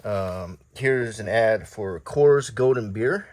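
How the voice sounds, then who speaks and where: A man reading an old newspaper aloud in a low-key, casual ASMR style, adding offhand comments as he browses. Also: slow, a little mellow, slight background noise.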